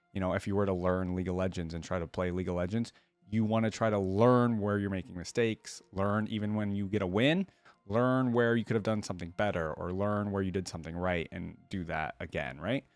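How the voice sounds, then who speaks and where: A man's voice: fairly low pitch, steady tone, a little fast, no echo, faint music behind. A man hosting a reaction video, talking casually and rambling informally as he muses, close to the microphone.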